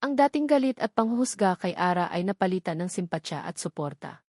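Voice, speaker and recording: A woman's voice: on the low side, expressive, fairly quick, darkish tone, no echo. Filipino woman narrating a story, close dry sound. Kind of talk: scripted dramatic storytelling narration.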